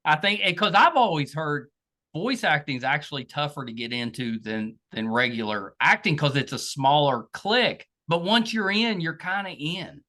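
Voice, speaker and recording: A man's voice: a little high, animated, moderate pace, pushed and bright. A man hosting a casual podcast interview in an informal, agreeable way. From Southern United States. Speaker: podcast host.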